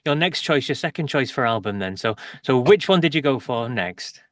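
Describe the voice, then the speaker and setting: A man's voice: medium pitch, animated, normal speed, no echo, clean studio sound. A man hosting an interview, asking leading questions that often end in tags. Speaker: interview host.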